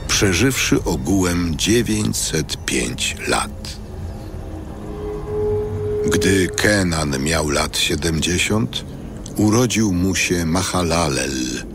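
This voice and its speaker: A man narrating scripture from a script in a measured, solemn style. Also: low voice. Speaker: narrator of an audio Bible.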